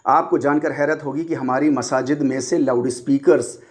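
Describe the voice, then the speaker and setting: A man's voice: mid-range voice, fairly even intonation, normal speed. A man lecturing on religion in a didactic way, explaining step by step and repeating key points for emphasis.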